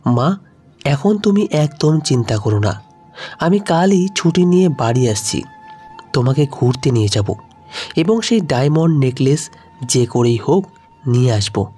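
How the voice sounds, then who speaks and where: A man's voice: mid-range voice, animated, a little slow, pauses often, warm tone, breathy edge, faint music behind. A man voicing a resolute young woman official in a story, polite but firm. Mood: tender resolve.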